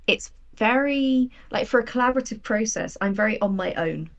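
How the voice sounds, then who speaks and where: A woman's voice: a little high, expressive, moderate pace, muffled recording. A woman speaking as a guest writer in a reflective interview conversation, candid and explanatory about her own doubts. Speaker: guest, audio drama writer.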